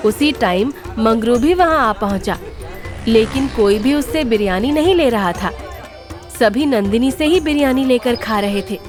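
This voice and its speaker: A woman's voice: on the high side, expressive, unhurried, over music. A woman giving a scripted narration of a children's moral story.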